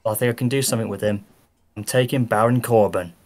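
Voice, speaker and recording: A man's voice: on the low side, steady tone, quick pace. A man with a Birmingham accent chatting casually on a call, laid-back and rambling as he explains himself. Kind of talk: casual group chat.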